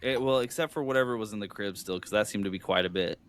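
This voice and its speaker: A man's voice: on the low side, quick pace, smooth. A man co-hosting a casual film review podcast, rambling with many restarts. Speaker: podcast co-host.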